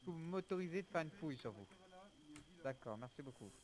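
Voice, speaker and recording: A man's voice: a little high, expressive, fairly quick, a little mellow. A man improvising as a police officer in a game roleplay, pressing people with repeated questions.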